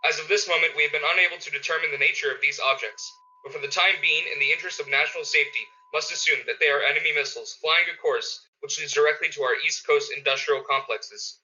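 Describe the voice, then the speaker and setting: Guy doing an urgent radio bulletin announcer, really quick, formal, clipped, tinny narrow sound, radio play. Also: on the high side, pushed and bright. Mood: urgent, grave. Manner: formal, clipped, repeating key orders.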